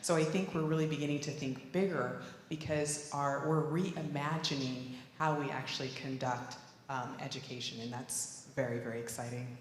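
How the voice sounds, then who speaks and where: A very low-voiced woman answers on a conference panel, chatty and full of "you know"s. The sound is roomy, with a long hall reverb. Faint noise sits behind her. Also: fairly even intonation, moderate pace. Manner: conversational, lots of "you know" fillers. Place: conference room.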